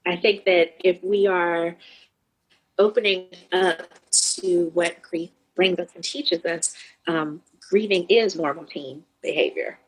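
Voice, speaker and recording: Husky earnest woman therapist. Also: fairly low pitch, slow, poor audio. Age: middle-aged. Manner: earnest, animated when acting out kids' replies. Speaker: grief therapist guest. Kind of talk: reflective interview talk.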